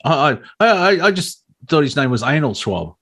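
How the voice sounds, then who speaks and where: Higher-voiced man, joking talk show co-host. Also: very animated, moderate pace, a bit bright. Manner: joking, wisecracking. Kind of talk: casual comedic banter.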